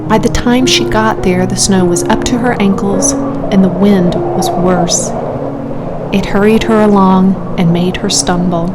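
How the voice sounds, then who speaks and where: Female storybook narrator, unhurried and expressive, mid-pitched, with prominent music underneath. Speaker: storyteller reading a picture book. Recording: music behind the voice.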